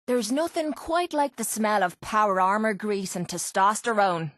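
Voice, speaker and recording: A woman's voice: medium pitch, moderate pace, a bit bright, no room sound, studio quality, close to an everyday voice. An actress playing a tough, sardonic young Irish woman, a blunt and slangy wasteland fighter in a video game. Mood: wry, streetwise. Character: tough, foul-mouthed, sardonic young female wasteland brawler companion. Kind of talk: video game character dialogue.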